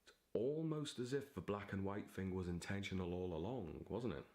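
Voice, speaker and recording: A man's voice: sits low, animated, moderate pace, darkish tone. A man talking casually to camera as a vlogger and writer, in a theatrical, giddy, digressive manner. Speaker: vlogger and aspiring novelist.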